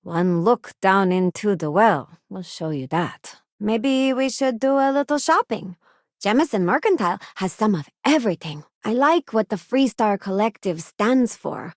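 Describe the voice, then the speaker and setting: A woman's voice: medium pitch, lively intonation, a little slow, no room sound, studio quality. An actress playing a principled, idealistic female crew companion in a space video game, speaking sincerely and conversationally. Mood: helpful, ready. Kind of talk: acted game companion dialogue lines.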